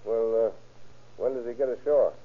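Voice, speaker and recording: An actor playing a plain-spoken, cautious boat captain in an old radio crime drama. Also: male voice, medium pitch, steady tone, normal speed, natural voice. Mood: probing, guarded. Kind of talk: 1930s-40s radio crime drama acting. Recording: muffled, scratchy old-time radio recording.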